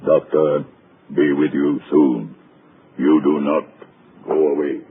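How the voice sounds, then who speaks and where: A towering, brooding chauffeur in an old radio thriller, rumbling out clipped, broken commands from a cavernous, bottomless voice. He drags every word out heavily. The sound is a thin, hissing broadcast recording. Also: little pitch movement, exaggerated voice. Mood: curt. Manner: slow, clipped, broken English. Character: huge menacing male chauffeur, gruff, broken speech. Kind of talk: 1940s radio acting. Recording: tinny, hissy 1940s radio broadcast.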